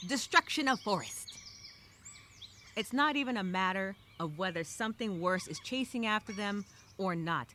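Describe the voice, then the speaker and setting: A woman's voice: mid-range voice, bright, forceful tone. A woman playing a frightened, exasperated castaway, talking through a journal entry in a theatrical, emphatic, rambling dramatic monologue. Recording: clear background noise with birdsong.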